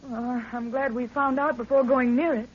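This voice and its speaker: An actress playing an anxious young explorer's daughter, fretful and halting, in a 1930s radio drama. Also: female voice, high voice, steady tone, husky. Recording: dull lo-fi 1930s radio recording.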